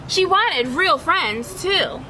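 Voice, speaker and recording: Female teacher for children's read-aloud: high-pitched, expressive storyteller, instructive, noticeable background noise. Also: slow pace, poor audio. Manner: instructional, addressing young listeners with questions. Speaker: teacher reading a picture book aloud.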